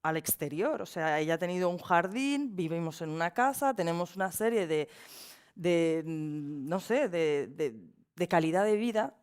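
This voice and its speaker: A woman's voice: on the low side, lively intonation, normal speed, darkish tone, clear, resonant tone. A woman from Barcelona speaking as a panelist, chatty and personal, with small laughs between points. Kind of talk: panel discussion.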